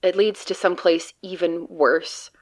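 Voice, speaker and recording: A woman's voice: lowish voice, steady tone, unhurried, lightly acted. A woman playing a jaded, doomed field agent in a horror audio drama, blunt, bitter and sardonic. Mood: uneasy, weary.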